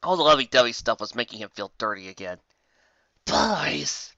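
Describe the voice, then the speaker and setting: A man reading a story as a scripted narrator, with dramatic, expressive delivery on a muffled, dry home recording. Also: on the low side, fast talker, no room sound. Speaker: fan fiction narrator.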